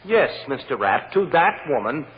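A man's voice: mid-range voice, sing-song, moderate pace, lightly acted. An American actor playing a persuasive, earnest male defense lawyer in an old radio drama, articulate and insistent. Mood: earnest, pleading. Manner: articulate, insistent courtroom pleading. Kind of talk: 1940s-50s American radio drama acting. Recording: old radio recording, dull, hissy, long reverb.

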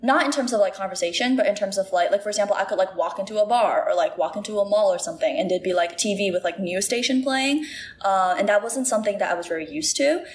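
A woman's voice: mid-range voice, fast. A woman in her early twenties who grew up in Shanghai and Singapore, chatting casually as an interview guest and saying "like" a lot. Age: 20s. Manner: chatty, says "like" often, many fillers.

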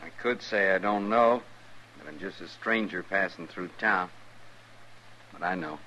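An actor playing an evasive, unruffled miner passing through town, measured and plain-spoken, in a 1950s radio western. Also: male voice, deep voice, pushed and bright, exaggerated voice. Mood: guarded, sly. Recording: muffled, faintly hissy old radio recording.

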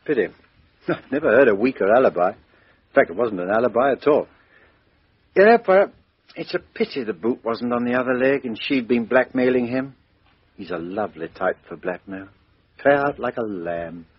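A brisk Scotland Yard chief inspector who questions people in a breezy, blunt way. His husky voice rises and falls a great deal. The sound is dull and muffled, from an old radio broadcast. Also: mid-range voice, lively intonation. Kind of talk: 1950s radio drama acting.

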